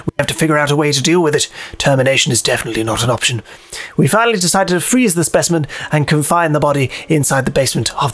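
A man playing a video game, commenting casually and reading notes aloud, often hesitating and repeating himself. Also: fairly high pitch. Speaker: let's play host and player.

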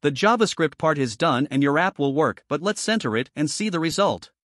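A man's voice: a little high, animated, fairly quick, a bit bright, no room sound, studio quality. A man presenting a programming tutorial in scripted step-by-step narration.

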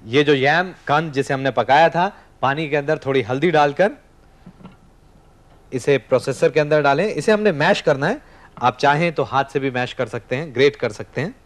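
A man's voice: fairly high pitch, normal speed. A man in his thirties hosting a studio cooking show, explaining each step while he cooks and talks to the camera. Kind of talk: scripted cooking demonstration. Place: TV studio kitchen set.

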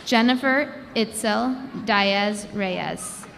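A woman reading graduates' names one by one at a commencement ceremony, announcing each name with a measured pause through a podium microphone. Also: mid-range voice, gravelly, a bit bright. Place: large indoor gymnasium. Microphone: podium microphone over a PA system. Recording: long hall reverb with crowd noise. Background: crowd ambience.

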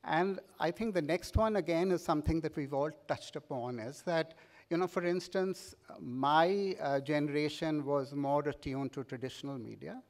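A man's voice: a little high, normal speed. A middle-aged man with an Indian English accent giving an urging keynote speech at a podium mic on a large conference stage, with fillers and repeated words. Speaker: keynote speaker.

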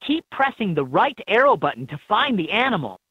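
A woman with a husky voice reading scripted prompts as an encouraging guide in a children's game, on dull toy audio. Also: medium pitch, sing-song, fairly quick, a bit bright, no room sound.

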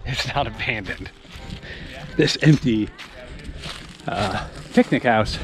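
A man in his thirties walking a wooded trail and talking to a handheld camera, chatty and excited about what he finds. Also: medium pitch, takes it slowly, soft background music. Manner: enthusiastic, chatty, exclaims often. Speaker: vlogger. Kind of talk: casual walking vlog narration. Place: outdoors on a wooded mountain trail. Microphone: camera held at arm's length.

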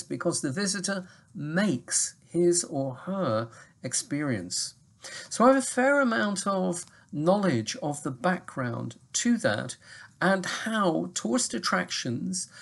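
A man, giving a recorded lecture from his home study to his university students in a measured, explanatory way. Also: fairly high pitch. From Manchester, England. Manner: measured, explanatory, lots of lists. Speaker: university English teacher. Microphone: webcam at desk.

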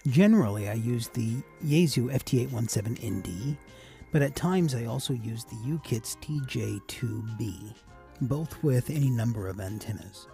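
A man giving a casual, measured instructional voice-over as an amateur radio operator hosting a tutorial. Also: mid-range voice, animated, vocal fry, warm tone, soft background music.

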